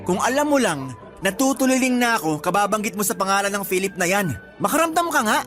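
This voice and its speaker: A man's voice: sits high, sing-song, fast, a bit bright, soft background music. A man playing a jealous, insecure young boyfriend in a radio love drama, accusing and defensive. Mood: angry, accusing.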